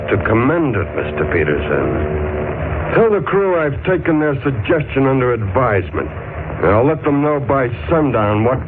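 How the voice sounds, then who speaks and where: An actor playing a suave, scheming sea captain with formal, sardonic airs in a 1940s radio drama. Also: male voice, mid-range voice, sing-song, unhurried, soft background music. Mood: smooth, calculating. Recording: noisy, tinny 1940s radio recording.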